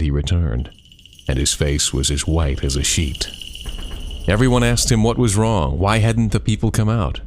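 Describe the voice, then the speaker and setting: A man reading a scary story as a storyteller, with suspenseful, deliberate dramatic phrasing. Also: low-pitched, darkish tone.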